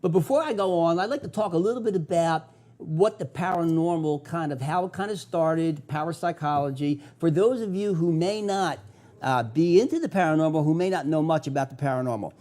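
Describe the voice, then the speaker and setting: A man's voice: on the high side, fairly quick, dark tone. An older man from South Philadelphia with a Philadelphia accent, hosting a local TV talk show in an enthusiastic, conversational way. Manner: enthusiastic, conversational, with filler uhs. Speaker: host.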